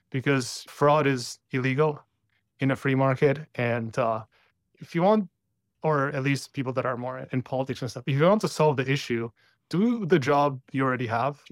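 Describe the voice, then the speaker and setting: A man's voice: mid-range voice, no echo, studio quality. A man giving blunt, emphatic opinions as a panelist in a casual podcast discussion.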